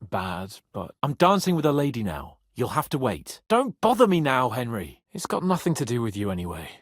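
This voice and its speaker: An actor playing a brash, haughty young medieval nobleman in a video game, sardonic and hot-tempered, with a slightly husky voice. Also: medium pitch, moderate pace, dry recording, studio quality. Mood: irritated, dismissive. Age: young adult. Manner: haughty, sarcastic, swearing, expressive.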